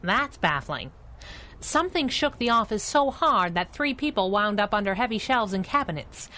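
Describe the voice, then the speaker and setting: An actress playing a cheeky, wisecracking young superhero sidekick with slangy, punchy delivery in a 1930s style radio drama. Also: female voice, medium pitch, big pitch swings, normal speed, bright, forceful tone. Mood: sassy, teasing.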